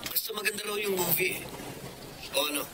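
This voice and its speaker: A man's voice: high voice, a little fast, sharp tone, a little hiss or hum. An actor with a Filipino accent playing a friendly, playful, eager male suitor in a radio drama. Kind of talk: Tagalog radio drama acting.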